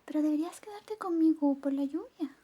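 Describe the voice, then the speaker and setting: A woman's voice: sits high, brisk, dark tone, voice pushed up, natural voice. A woman playing a clingy, jealous young best friend in a coaxing, whispered ASMR roleplay. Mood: pleading. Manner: coaxing, possessive, pleading.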